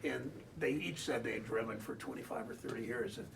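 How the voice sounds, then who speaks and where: A man's voice: mid-range voice, brisk, creaky, roomy sound. A man interviewing locals in a chatty conversational way, asking leading questions and often restarting. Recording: distant mic with background noise.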